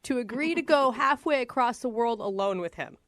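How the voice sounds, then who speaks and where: Animated, blunt female radio co-host. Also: a little high, a little fast, slight background noise. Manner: blunt, sceptical, questioning. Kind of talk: conversational radio banter.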